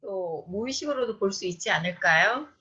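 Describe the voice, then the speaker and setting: A woman's voice: medium pitch, animated, moderate pace, bright, forceful tone, slightly rough. A woman student giving a careful, polite and tentative answer in a class. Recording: muffled lo-fi class audio.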